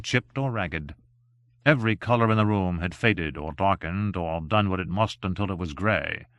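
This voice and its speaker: A man with a fairly low voice reads a novel aloud in a steady, measured way. The sound is dry and close, with no room around it. He keeps character voices restrained and lets the story carry. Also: no echo. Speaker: audiobook narrator. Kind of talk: unabridged novel reading.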